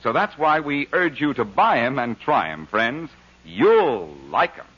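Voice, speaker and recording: Male announcer for an old-time radio ad, animated, muffled vintage sound. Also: medium pitch, a little slow. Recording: dull, noisy vintage radio recording.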